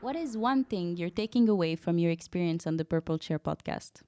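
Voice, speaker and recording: A woman's voice: on the low side, lively intonation, fairly quick, background noise. A woman asking podcast interview questions as the interviewer.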